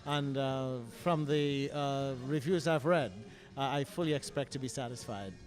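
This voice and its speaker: A man answering a street interview in a formal, halting way, full of "uh" pauses. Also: medium pitch, soft background music. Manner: formal wording with many "uh" pauses. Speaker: theatregoer being interviewed. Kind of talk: formal, hesitant vox-pop answer.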